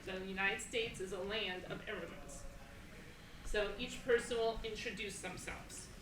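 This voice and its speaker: A woman moderating an assembly, explaining things deliberately and repeating phrases for emphasis, recorded in an echoey hall. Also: medium pitch, recorded from a distance. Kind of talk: educational talk to an assembly. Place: school assembly, speakers on a stage. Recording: echoey hall from afar, faint noise.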